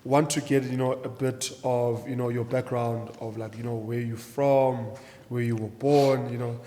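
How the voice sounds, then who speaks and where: A man's voice: medium pitch, little pitch movement, normal speed, dark tone, a little hiss or hum. A man hosting a casual interview, chatting informally with lots of "you know" along the way. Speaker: podcast host and interviewer. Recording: reverberant hall-like room.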